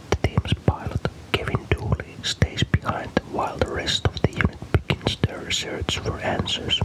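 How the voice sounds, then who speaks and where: A man from Finland softly whispers a scripted story in an ASMR style, breathy and unvoiced, with slow, deliberate delivery. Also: rough recording.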